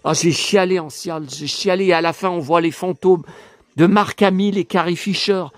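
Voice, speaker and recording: A hoarse middle-aged man chatting in a casual vlog, rambling, repeating himself and stammering, with emotional asides. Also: high-pitched, steady tone, moderate pace, no echo. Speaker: YouTuber. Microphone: phone.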